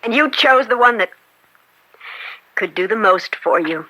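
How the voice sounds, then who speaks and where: A sullen, sharp-tongued young daughter. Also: female voice, a little high, animated, a little slow, background noise. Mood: sullen, guarded. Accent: American. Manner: curt, guarded, cutting. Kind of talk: old-time American radio drama acting.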